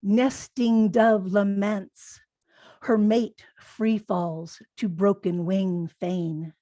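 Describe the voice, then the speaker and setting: A woman poet reading haiku aloud in a measured delivery with pauses between the phrases. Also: medium pitch, fairly even intonation, dark tone, no room sound. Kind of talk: poetry reading.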